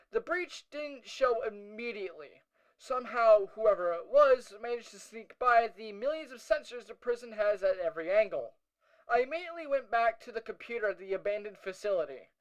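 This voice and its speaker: A performer playing a special ops squad leader who records a scripted first-person audio log in a measured, matter-of-fact way. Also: mid-range voice, close to an everyday voice, poor audio. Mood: serious, reporting. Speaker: narrator.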